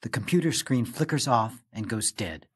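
A man reading the stage directions of a play in measured, scripted narration. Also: medium pitch, animated, husky.

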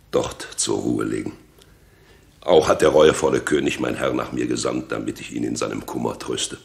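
Old loyal courtier, super deep croaky voice, formal n measured, old radio play style. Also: male voice. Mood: solemn longing. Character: aging, loyal, homesick Sicilian nobleman and royal counsellor. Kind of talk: 1960s German radio drama acting.

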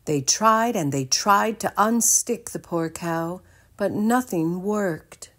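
A woman's voice: medium pitch, slow, fairly bright tone. A woman reading a children's storybook aloud expressively.

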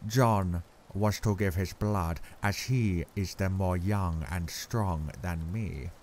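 A man voicing an older, grave and kindly foreign professor who speaks in halting, odd word order. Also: low-pitched, darkish tone, a little hiss or hum.

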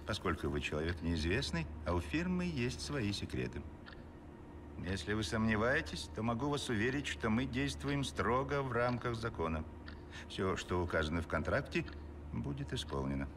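An actor playing a formal, secretive agent of a shadowy firm in a noir cartoon, officious and theatrical. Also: male voice, fairly low pitch, big pitch swings, moderate pace, background noise. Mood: cool, formal. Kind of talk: dubbed noir animation acting. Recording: music under the voice.

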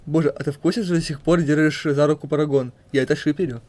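A man's voice: fairly high pitch, fairly even intonation, quick pace, a little mellow. A man reading questions and explanations aloud as narrator of an amateur comic dub.

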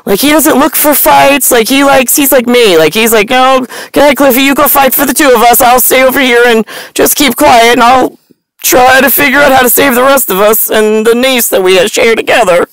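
A woman's voice: high voice, normal speed, no room sound, low-quality recording. A woman in her forties rambling to camera in a blunt, sardonic, stream-of-consciousness monologue recorded up close inside a car. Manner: blunt, sardonic, profane, animated hand gestures. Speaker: vlogger. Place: inside a parked car at night.

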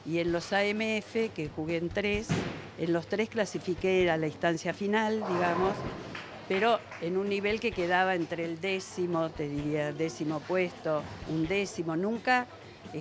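A woman's voice: on the low side, moderate pace. A woman in her seventies with an Argentine accent answers interview questions conversationally, in a reflective, explanatory manner. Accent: Argentine Spanish. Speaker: veteran bowler being interviewed. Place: indoor bowling alley. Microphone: handheld interviewer's microphone. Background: music.

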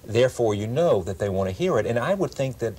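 A man's voice: medium pitch, animated, quick pace, dark tone, husky. A middle-aged man hosting a broadcast interview, probing and rephrasing his questions at length, on a lapel mic in a studio. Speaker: talk show host. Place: television studio set.

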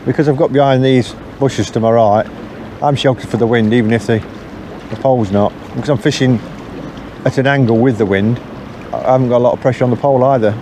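A middle-aged man from England talking casually to the camera while fishing from a riverbank, thinking aloud in a relaxed, unhurried way. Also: medium pitch. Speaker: angler filming his own channel. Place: riverbank outdoors on a windy day. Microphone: camera mic placed behind him. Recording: outdoor camera audio with wind noise.

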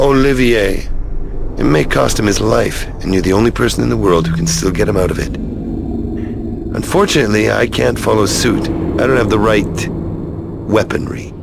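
A man's voice: fairly low pitch, moderate pace, creaky, heavy background noise. An actor playing a cynical tough-guy hero in a sci-fi game, with a sarcastic, wry manner. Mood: bitterly sarcastic. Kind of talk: video game cutscene acting.

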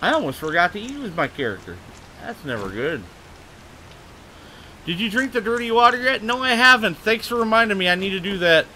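A man's voice: high-pitched, very animated, brisk, pushed and bright, slight background noise, poor audio. An American man hosting a gaming livestream, chatty, laughing often and swearing when something surprises him. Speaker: livestream host. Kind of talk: casual gaming livestream chat.

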